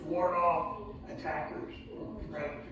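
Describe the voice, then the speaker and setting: A high-pitched male children's host leads an interactive lesson, prompting his young listeners as he goes. He sounds far from the mic in a very echoey room. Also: little pitch movement, measured pace. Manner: prompting, instructive, addresses children directly. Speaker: children's church puppet show host playing submarine captain. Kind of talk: interactive children's teaching with call and response. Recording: echoey hall from far off, muffled, noisy.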